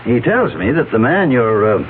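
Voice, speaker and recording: An actor playing a stern, authoritative company boss in a radio mystery, deliberate and pointed. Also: male voice, mid-range voice, sing-song, lightly acted. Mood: stern, probing. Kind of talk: radio mystery drama acting. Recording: tinny, hissy old radio broadcast.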